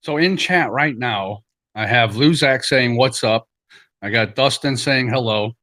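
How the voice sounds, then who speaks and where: A man's voice: medium pitch, a little slow, pushed and bright. A man hosting a live stream in a casual, loosely conversational, plain-spoken way.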